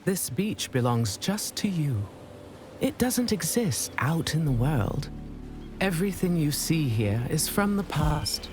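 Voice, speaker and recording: An actor playing a calm, cryptic guide inside a memory simulation in a video game, speaking in a measured, formal, deliberate way. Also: male voice, on the high side. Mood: soothing. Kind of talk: scripted video game narration. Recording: music under the voice. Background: music.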